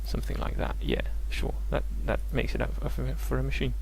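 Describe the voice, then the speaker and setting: A man's voice: medium pitch, expressive, brisk, creaky, darkish tone, slight background noise, low-quality recording. Hesitant young Danish man teaching maths. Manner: hesitant, many fillers and restarts. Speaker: maths tutor and host. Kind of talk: casual live tutorial.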